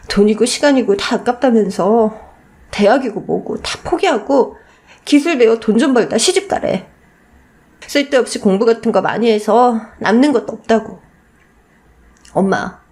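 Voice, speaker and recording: A woman's voice: a little high, darkish tone, close to an everyday voice. A woman voicing a hurt, indignant teenage daughter pouring out her grievance in a radio story.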